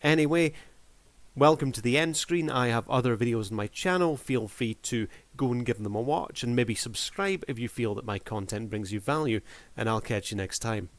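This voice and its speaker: A man's voice: medium pitch, normal speed. A man hosting a video review, talking casually off the cuff in a laid-back, wry way. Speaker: video reviewer and channel host.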